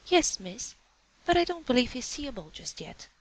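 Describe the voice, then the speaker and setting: A woman's voice: high voice, sing-song, moderate pace, voice pushed up. A woman doing a fretful country housemaid. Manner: fretful, chattering. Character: flustered working-class housemaid, young woman.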